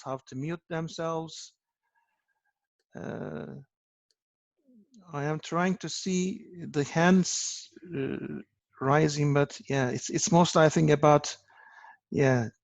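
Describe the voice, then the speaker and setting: Gravelly man moderating a video call. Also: on the high side, dry recording. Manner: hesitant, repeats words. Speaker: event host. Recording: dull, dry video call audio.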